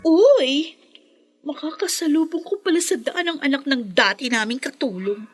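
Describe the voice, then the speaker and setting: Haughty, high-pitched Filipino bully girl. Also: lively intonation, moderate pace, music in the background. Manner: taunting, sneering. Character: spoiled rich schoolgirl bully, haughty, cruel. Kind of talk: Filipino radio drama acting.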